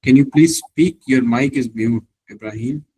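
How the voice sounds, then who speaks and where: A man's voice: mid-range voice, normal speed, dark tone, slightly rough, dry recording, low-quality recording. A man moderating a workshop, quizzing attendees with direct questions.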